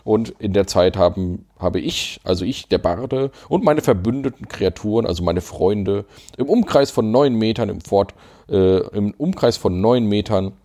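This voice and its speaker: Man, low voice, casual podcast chat, laughing, filler-heavy, tabletop rules talk. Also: animated, moderate pace, dark tone. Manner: chatty, laughs often, many fillers. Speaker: podcast co-host.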